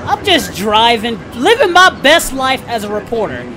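A woman's voice: on the high side, sing-song, moderate pace, music in the background. A woman chatting casually as host of a game livestream, with playful, sweary banter. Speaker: streamer host.

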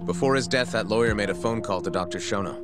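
An actor playing an earnest, composed prosecutor in his thirties in a video game, speaking in a measured, formal way. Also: lowish voice, natural voice. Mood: polite, calculating. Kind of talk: video game cutscene acting. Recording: music behind the voice.

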